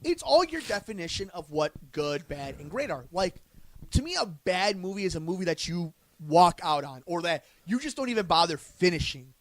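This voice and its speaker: A man's voice: high voice, big pitch swings, moderate pace. A man co-hosting a podcast, talking casually about film and pop culture. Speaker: podcast co-host.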